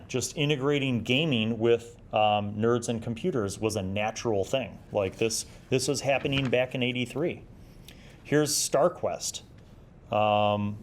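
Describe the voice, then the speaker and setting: A middle-aged man hosting a review series, talking casually and enthusiastically in an informal, rambling way as he pages through material. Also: medium pitch. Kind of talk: casual chatty magazine review, commenting while paging through.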